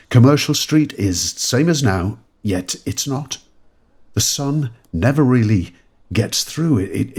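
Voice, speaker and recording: A rattled, wry adventurer with a deep, very husky voice. He muses haltingly and sweary in audio drama style, taking his time while his pitch roams widely. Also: heavily acted. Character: rattled man who has crossed between eras, wry, sweary. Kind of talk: modern audio drama monologue.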